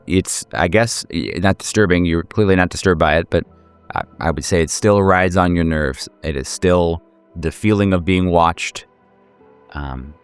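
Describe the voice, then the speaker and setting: A man speaking as game master, improvising horror narration and casual chat in a halting way, often rephrasing himself. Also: low voice, clear, resonant tone.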